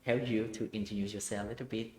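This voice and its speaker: An interview host, giving formal, slightly halting introductions. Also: medium pitch, steady tone, dark tone, a little hiss or hum. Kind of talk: hosting a business interview. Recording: roomy echo.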